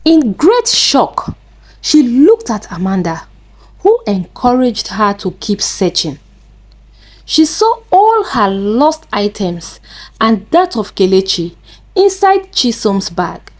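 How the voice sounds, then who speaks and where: A woman narrating a scripted folktale in a deliberate, dramatic way. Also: on the high side, big pitch swings. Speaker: storyteller.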